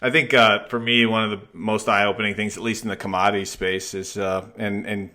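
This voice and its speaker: Low-voiced, halting male podcast interviewer. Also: little pitch movement, sharp tone. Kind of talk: conversational financial interview.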